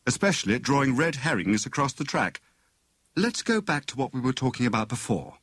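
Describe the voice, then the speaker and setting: A man voicing a witty, sharp-tongued young wife with a withering, coaxing and brisk manner in an audiobook. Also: mid-range voice, big pitch swings, dry recording, clean studio sound, voice pushed up. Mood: teasing, coaxing.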